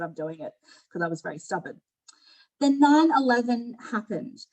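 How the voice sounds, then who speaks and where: A woman's voice: mid-range voice, fairly bright tone, poor audio. A middle-aged woman with an Australian accent, giving an informal personal presentation on a video call in a candid, conversational way. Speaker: panel speaker at an online forum.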